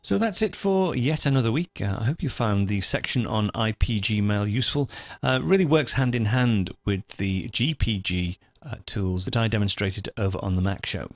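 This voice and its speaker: A man hosting a software tutorial, talking through each step in an informal, unscripted, explanatory way. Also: on the low side, normal speed, hardly any pauses, dry recording. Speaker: host of a software tutorial show. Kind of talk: screencast tutorial, unscripted walkthrough.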